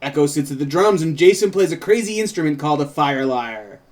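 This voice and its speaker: A man with very animated, up-and-down intonation co-hosts a table read. He narrates scene directions and slips into casual chat about the script. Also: a little high, a little fast. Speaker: co-host and script co-writer.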